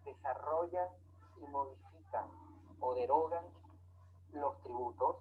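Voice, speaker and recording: A man from Venezuela, giving a measured online lecture with frequent hesitations. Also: medium pitch. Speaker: lecturer on tax law. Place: home, remote online talk. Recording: tinny phone-like audio, faint noise.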